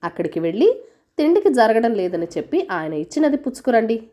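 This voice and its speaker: A poor village mother from a storybook, played by a woman narrator, firm and practical with her children. Her voice is high and expressive. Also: fairly quick.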